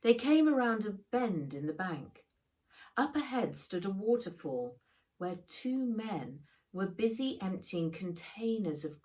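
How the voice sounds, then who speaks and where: Slow, expressive woman storyteller. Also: medium pitch, poor audio. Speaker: author reading own book. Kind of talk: expressive children's audiobook narration.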